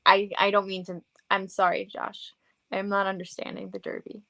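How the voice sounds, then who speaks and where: A woman's voice: medium pitch, completely flat delivery, moderate pace, vocal fry, sharp tone. Chatty woman with a Long Island accent. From Long Island, New York. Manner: playful, self-deprecating, chatty. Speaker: podcast guest, model and horror hostess. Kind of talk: casual chat.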